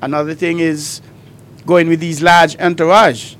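A man's voice: on the high side, little pitch movement, unhurried, fairly bright tone, slight background noise. A man co-hosting a call-in radio show, explaining things step by step with examples. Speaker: radio talk show co-host.

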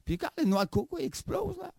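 A man playing a boastful, goofy male traveler with a gravelly voice. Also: very high-pitched, big pitch swings, fairly quick, dark tone, dry recording, clean studio sound, full character voice. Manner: keeps addressing the host by name, tacks on filler tags; giggly, playful bragging. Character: boastful silly African man visiting Canada. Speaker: comedy interview guest. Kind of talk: improvised comedy sketch.

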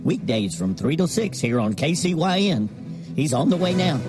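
A man voices a jokey radio station promo with playful delivery. His voice is slightly high and bounces around in pitch, with music behind it. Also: very animated, moderate pace.

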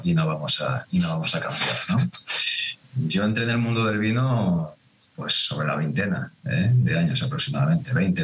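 Middle-aged man, Madrid Spanish accent, very low voice, reflective, hesitant, restarts often. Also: fairly bright tone, low-quality recording. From Madrid, Spain. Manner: reflective, hesitant, many restarts and tag questions. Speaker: winemaker. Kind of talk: relaxed interview answers.

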